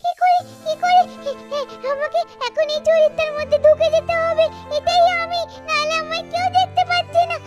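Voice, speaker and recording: A little girl ghost with an extremely high, childlike voice. She is innocent and bewildered, calling out again and again in pleading tones. It is doll-cartoon acting with music playing behind. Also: flat delivery, normal speed, hardly any pauses, a little mellow. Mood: confused, frightened. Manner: childlike, repetitive, pleading calls.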